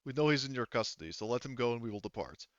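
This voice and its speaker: A man's voice: medium pitch, expressive, racing, no echo, dull audio, close to an everyday voice. A man playing a terse, formal mercenary captain making demands in a tabletop game. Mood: stern, demanding. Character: curt male mercenary captain, formal and menacing.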